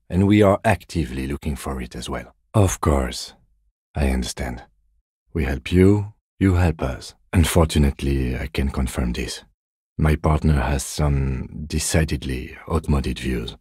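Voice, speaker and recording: A man voicing a composed, methodical police detective in a video game, speaking in a measured, formal, understated way. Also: extremely low pitch, husky, no echo, clean studio sound. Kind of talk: video game character dialogue.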